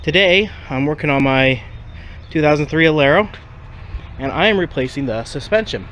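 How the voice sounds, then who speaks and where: A man's voice: on the high side, lively intonation, a bit bright, slight background noise. Sniffly man explaining a car repair. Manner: halting, many ums and restarts. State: stuffy from a cold, sniffly. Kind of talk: casual how-to explanation.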